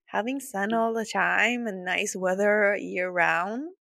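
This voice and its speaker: A woman's voice: medium pitch, slow, gravelly, bright, forceful tone, no echo, clean studio sound. A woman in her thirties from Sweden with a Swedish accent, hosting her podcast in a chatty, upbeat way with frequent laughs and restarts. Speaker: podcast host. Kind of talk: casual solo podcast storytelling.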